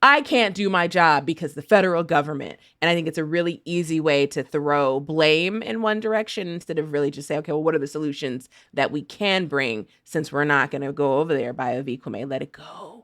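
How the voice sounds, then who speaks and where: Lowish voiced lady, super casual and playful, slangy, dry close podcast audio. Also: brisk, hardly any pauses, a bit bright, no room sound. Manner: slangy, playful, mimics others in asides. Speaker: podcast contributor. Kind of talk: casual chat.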